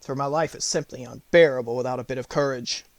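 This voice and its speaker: A man's voice: medium pitch, steady tone, fast talker, no room sound, natural voice. Man putting on a big, mournful cowardly lion. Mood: glum. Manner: sorrowful, confessing.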